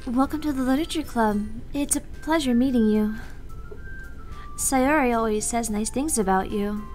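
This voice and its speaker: A woman's voice: a little high, moderate pace, darkish tone, faint music behind, pitched above the performer's own voice, close to an everyday voice. A woman voicing a polite, timid, mature schoolgirl in a visual novel read-aloud. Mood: polite, welcoming.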